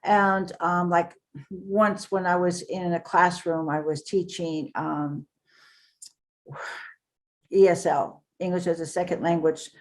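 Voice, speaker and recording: An older woman speaks as the guest on an interview podcast, her voice sitting a little low. She tells her stories earnestly and in a rambling way, often pausing on fillers. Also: steady tone. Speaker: author and guest. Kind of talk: casual interview talk.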